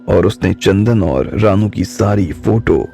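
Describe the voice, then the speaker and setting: A male narrator tells a drama audiobook at an unhurried pace, his low voice gravelly and slightly husky. He is recorded dry and close, with faint music beneath. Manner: slow, steady storytelling. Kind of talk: scripted storytelling narration.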